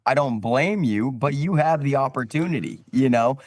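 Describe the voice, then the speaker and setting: A man's voice: medium pitch, normal speed, darkish tone, no room sound. An American man chatting casually as a podcast guest, explaining things in a colloquial way. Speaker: podcast guest, cornhole brand owner.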